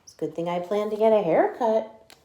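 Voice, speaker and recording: A woman's voice: medium pitch, a little fast, lo-fi sound, noisy background, natural voice. A woman voicing a polite, eager, slightly vain male lion from a storybook, exclaiming earnestly. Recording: echoey room.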